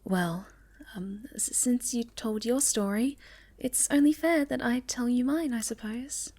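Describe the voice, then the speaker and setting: A woman playing a sweet, shy young moth girl in an ASMR roleplay, speaking gently and hesitantly, often dropping to a breathy near-whisper. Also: a little high, unhurried. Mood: wistful, shy. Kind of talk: ASMR roleplay, soft intimate storytelling to the listener.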